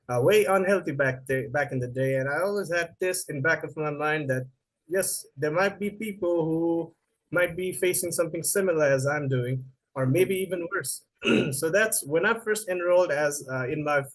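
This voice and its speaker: A man's voice: on the high side, poor audio. A young man with a Nepali accent, answering interview questions as a researcher, a little hesitant with fillers and restarts.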